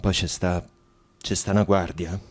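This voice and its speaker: An actor playing a frightened, worn man deported to a wartime camp, with a Roman accent, in halting, bitter radio drama storytelling. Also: deep voice, level tone. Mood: weary, humiliated. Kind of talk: radio drama monologue and dialogue. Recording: music under the voice.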